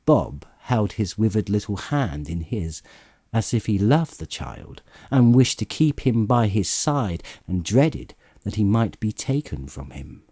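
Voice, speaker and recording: A man narrating a story as an audiobook reader, in a measured, expressive storytelling manner. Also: fairly low pitch, breathy edge, dry recording, studio quality.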